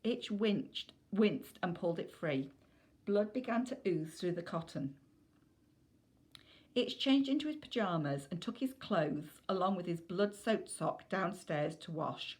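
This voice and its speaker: A woman's voice: on the low side, expressive, measured pace. A woman reading a children's novel aloud as scripted narration.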